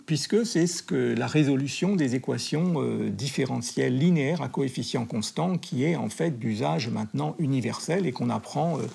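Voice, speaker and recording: A man interviewed for a documentary, his voice rough with fry and husky. He sits a little high in pitch. He thinks aloud and stalls on fillers and restarts. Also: mellow. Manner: hesitant, many fillers and restarts. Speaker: historian of science interviewed.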